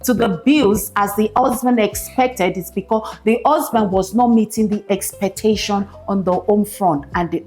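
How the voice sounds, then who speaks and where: Woman, panel talk, earnest, reflective, fairly high voice, soft music underneath. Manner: earnest, reflective, searching for words. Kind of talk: casual panel discussion.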